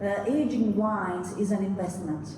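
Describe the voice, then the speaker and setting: A woman's voice: mid-range voice, a little slow. A woman hosts a winery tasting and explains wines confidently, often repeating her points. She is recorded from a distance in a very reverberant room. There is audible noise behind her. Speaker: winery host.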